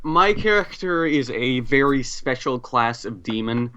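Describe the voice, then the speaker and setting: A man talks casually as a player in a tabletop role-playing session. His pace is slow and halting, with stops as he explains. The recording is somewhat muffled and lo-fi. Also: mid-range voice, lively intonation, bright, forceful tone, slight background noise. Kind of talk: casual chat, explaining a game character.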